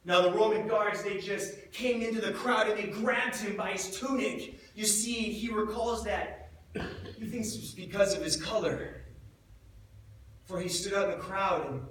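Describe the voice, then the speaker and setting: An actor playing a grief-stricken, guilt-ridden disciple of Jesus in a theatrical monologue, speaking in a halting, emotive way. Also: male voice, sits high, a bit bright, slight background noise. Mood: ashamed, subdued. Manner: halting, anguished, emotive delivery with repeated words. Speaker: actor in a church dramatic monologue. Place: large echoing church indoors. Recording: echoey church, far from the mic.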